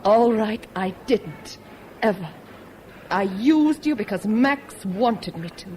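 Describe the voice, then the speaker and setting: Cold, slow-speaking defiant lover. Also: female voice, mid-range voice, lively intonation, a little mellow. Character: cold, defiant young woman rejecting her lover. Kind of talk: 1970s British radio drama acting. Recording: hissy old radio broadcast.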